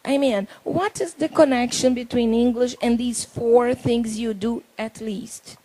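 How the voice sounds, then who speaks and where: A middle-aged Brazilian woman, hosting a talk show in English with a handheld mic, halting and reading from notes. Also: fairly high pitch, fairly even intonation, dark tone, low-quality recording. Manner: halting, reads from notes, laughs often. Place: indoor talk show stage.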